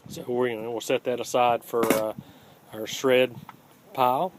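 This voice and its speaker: A man giving a casual, unscripted hands-on how-to in a plainspoken, halting way, recorded on a handheld phone. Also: medium pitch, level tone. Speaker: host of a scrapping how-to channel. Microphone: handheld phone, filming one-handed. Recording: home phone video with faint background noise.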